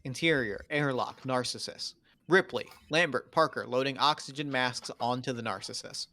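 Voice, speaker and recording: A man's voice: medium pitch, moderate pace, pushed and bright. A man with a mid-range voice serves as narrator at a table read. He delivers scripted scene headings and stage directions between the actors' lines.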